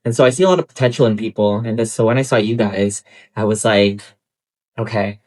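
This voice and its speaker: A man's voice: on the low side, a little fast, a little mellow. Confident man chatting candidly. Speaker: group creator and host. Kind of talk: casual candid talk.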